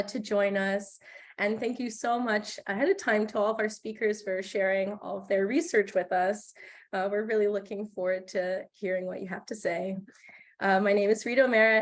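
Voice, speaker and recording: Wheezy woman opening a video-call event. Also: mid-range voice. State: wheezy, recovering from a cough. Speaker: graduate committee chair and event host. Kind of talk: reading prepared welcome remarks.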